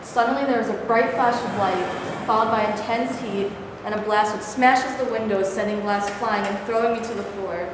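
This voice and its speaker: A woman's voice: mid-range voice, steady tone, moderate pace, few pauses, natural voice. A woman playing an anguished, wounded Japanese mother, horrified and defiant, in a staged reading of a play. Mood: grave, pained. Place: reverberant indoor room at a staged reading. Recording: echoey hall with clear background noise.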